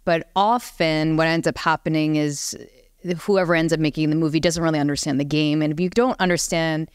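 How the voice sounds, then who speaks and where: A woman with a fairly low, smooth voice talks casually on a panel. She is candid and informal, and the sound is close and dry. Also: steady tone, fairly quick, no room sound. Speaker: panelist on a video game talk show.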